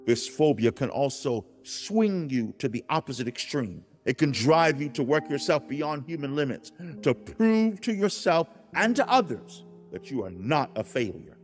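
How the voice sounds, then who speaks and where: A man's voice: medium pitch, very animated, moderate pace, hardly any pauses. Solemn man narrating as God over music. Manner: solemn, emphatic, preachy, formal wording. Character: God speaking as a loving, grieved, solemn father. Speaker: audiobook narrator. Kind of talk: dramatized scripted religious narration. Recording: music bed under the voice.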